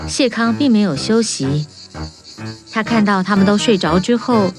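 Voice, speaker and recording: A woman's voice: mid-range voice, lively intonation, moderate pace. A woman reading scripted narration as the storyteller of a children's tale. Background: music.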